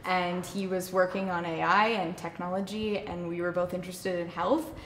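A woman from Arizona, a nonprofit director, talking about herself in a relaxed, friendly interview indoors. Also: fairly low pitch, moderate pace, roomy sound, slight background noise. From Arizona, United States. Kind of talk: casual interview. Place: indoor room with a plain wall.

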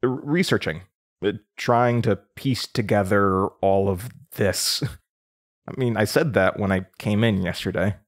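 A man's voice: on the low side, measured pace, close to an everyday voice. An actor playing a nervous, confused young man under interrogation, stammering and hesitant in a naturalistic audio drama. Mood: uneasy, defensive.

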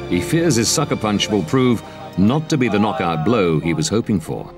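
Low male documentary narrator over loud music. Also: animated, moderate pace. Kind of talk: scripted narration. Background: dramatic score.

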